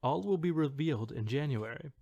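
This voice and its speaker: A man's voice: a little high, animated, brisk, gravelly, mellow, no echo, muffled recording. A man dramatically reading an online post as a self-assured, earnest claimant of secret mental powers. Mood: matter-of-fact. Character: self-assured forum poster boasting of telekinetic powers.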